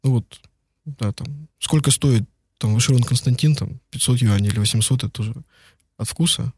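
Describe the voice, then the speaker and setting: A man's voice: mid-range voice, moderate pace, a little mellow, husky, no echo, clean studio sound. A man, a law firm partner, answering interview questions in a loose conversational way with many fillers. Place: lives and works in Beijing.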